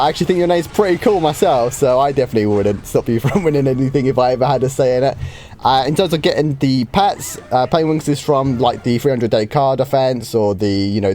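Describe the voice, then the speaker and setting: A man, a bit hoarse from a starting cold, easily answering viewer questions on a live game stream. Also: mid-range voice, a little mellow, a little hiss or hum. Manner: chatty, easygoing, with frequent ums and light laughs.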